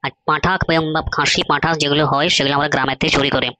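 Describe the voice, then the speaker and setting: Someone doing a crude village club drinker in a cartoon, scheming, talks quick and coarse. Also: mid-range voice, flat delivery, bright, forceful tone, lightly acted. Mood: conspiratorial. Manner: coarse, conspiratorial, colloquial village talk. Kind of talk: comedy cartoon dialogue.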